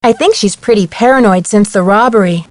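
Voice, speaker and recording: An actress playing a curious, level-headed young amateur detective with an American accent, speaking inquisitively and matter-of-factly in an adventure game. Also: female voice, mid-range voice, brisk, a little mellow, no room sound, natural voice. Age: young adult. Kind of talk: scripted game dialogue.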